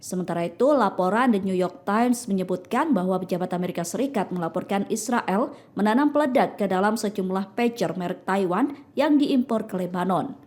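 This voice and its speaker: A woman's voice: medium pitch, fairly quick, a little mellow. A woman narrates news from a script.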